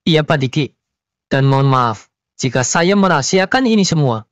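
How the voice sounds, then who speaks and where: A man's voice: a little high, expressive, normal speed, highs cut off, background noise, close to an everyday voice. A man voicing a stern undercover police investigator in a cartoon, speaking formally and firmly. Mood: formal, composed. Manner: formal, firm. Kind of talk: Indonesian cartoon dialogue, formal. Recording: music behind the voice.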